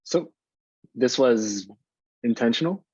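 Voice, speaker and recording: An uneasy dinner guest, read by a man in a script read. He talks slowly and hesitantly, probing, through a dry, muffled lo-fi microphone. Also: mid-range voice, lively intonation, breathy edge, dry recording. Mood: uneasy, questioning. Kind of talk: screenplay table read. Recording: dull, lo-fi microphone.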